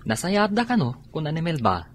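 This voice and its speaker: A man's voice: fairly high pitch, wildly swooping pitch, brisk, slight background noise. A man playing an easygoing neighbor. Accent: Ilocano. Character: Joel, a friendly neighbor man. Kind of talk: radio drama acting.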